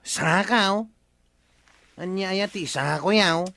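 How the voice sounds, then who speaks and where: A man with an Ilocano accent playing a slow, drawling village watch chief in a comic radio drama. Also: sits high, gravelly, sharp tone, heavily acted.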